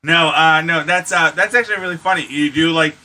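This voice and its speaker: A man with a strikingly bright, cutting voice at a medium pitch. He co-hosts a podcast in casual, slangy chat with a joking and crude streak. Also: fairly quick. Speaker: podcast co-host.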